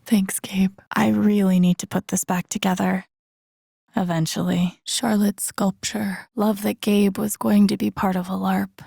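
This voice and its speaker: A woman voicing a young, introspective, dry-humored game heroine, murmuring her thoughts in a husky, breathy voice. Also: mid-range voice, level tone, measured pace, warm tone, no echo, full character voice. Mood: tender, sad. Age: young adult. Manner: murmured, breathy thinking aloud, often near a whisper. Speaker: video game voice actress. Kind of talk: soft inner-monologue game voice acting.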